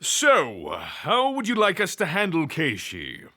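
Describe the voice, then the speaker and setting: A haughty god of war with a bright, forceful voice. His pitch swoops wildly and he stretches his words with pompous theatrical relish, as in a fantasy audio drama. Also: wildly swooping pitch, takes it slowly, full character voice. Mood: haughty, amused. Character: flamboyant, haughty god of war, doting on pets.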